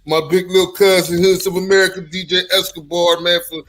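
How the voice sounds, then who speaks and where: A man's voice: high-pitched, level tone, fairly quick, fairly bright tone. A man hosting a casual podcast, signing off in laid-back slang and repeating "you know what I'm saying". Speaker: podcast host.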